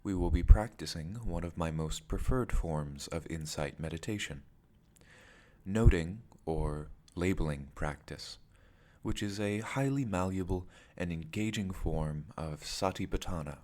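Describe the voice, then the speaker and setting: Male meditation guide, very low-pitched, unhurried and slow, deliberate phrasing with pauses. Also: darkish tone. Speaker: meditation teacher.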